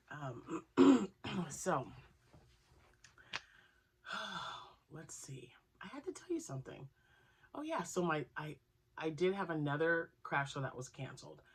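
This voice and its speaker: A woman hosting her crochet channel, chatting casually and rambling with asides, still nasal from a cold. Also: sits low. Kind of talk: casual chat to camera.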